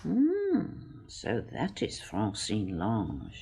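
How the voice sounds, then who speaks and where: A teasing wife ribs her husband in a radio drama. Her voice is very breathy and husky, and it leaps about widely in pitch. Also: huge pitch swings, extremely slow, mellow, heavily acted. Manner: teasing, wry. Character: wry detective's wife.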